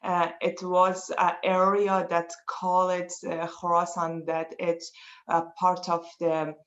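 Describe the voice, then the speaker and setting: A woman's voice: lowish voice, monotone, slow. A woman with an Afghan accent, a native Persian speaker, answering interview questions hesitantly and searching for words in English. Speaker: interview guest, poet and women's rights advocate. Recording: roomy echo, dull lo-fi call audio.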